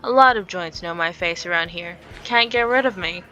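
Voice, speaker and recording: Smug, flirty barfly charmer played by a woman, teasing drawl, bright tone, visual novel game character. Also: on the low side, moderate pace, highs cut off, faint music behind. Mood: smug, playful. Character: smug, flirty, teasing male bar regular.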